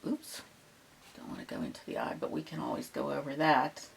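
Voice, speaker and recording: A woman teaching a live painting lesson, who is losing her voice and keeps clearing her throat, murmuring relaxed step-by-step commentary. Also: very deep voice, brisk, slight background noise. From Pittsburgh. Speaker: painting instructor.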